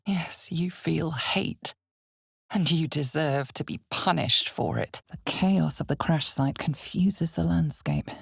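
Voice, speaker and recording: A dark fantasy game narrator speaks low and very slowly, ominous and knowing with a wry edge. Her voice is husky and gravelly, often close to a whisper, and every phrase is placed deliberately. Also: no echo, heavily acted. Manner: slow, deliberate, dramatic storytelling.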